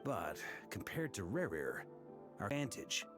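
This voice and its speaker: Confident, expressive knight commander. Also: male voice, on the low side, measured pace, over music. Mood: measured, serious. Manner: assured, informal, explanatory. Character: seasoned male knight commander, confident, pragmatic, easygoing leader. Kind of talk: video game voice acting.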